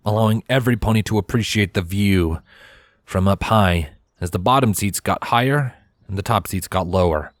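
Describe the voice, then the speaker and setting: A man's voice: low-pitched, no echo. A man reading a scripted story aloud as its narrator. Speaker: fan fiction narrator. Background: background music.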